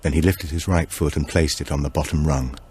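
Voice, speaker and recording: A man with a low voice narrates a suspense story. His delivery is measured and deliberate, in the manner of a scripted audiobook thriller. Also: fairly even intonation, mellow, husky.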